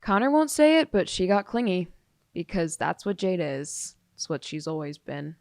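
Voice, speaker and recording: A woman's voice: fairly low pitch, sing-song, normal speed, a little mellow, no echo, clean studio sound. A woman acting a blunt, dismissive, jaded teenage girl testifying in an audio drama. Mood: blunt, scornful. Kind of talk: audio drama monologue, police interview testimony.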